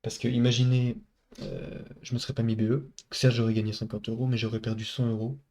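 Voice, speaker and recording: A man's voice: medium pitch, little pitch movement, fairly quick, warm tone. A man with a head cold and a stuffy nose, casually talking viewers through his trade with lots of hesitations. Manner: casual, many hesitations, talks to viewers as buddies. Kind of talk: casual screen-recorded trade recap.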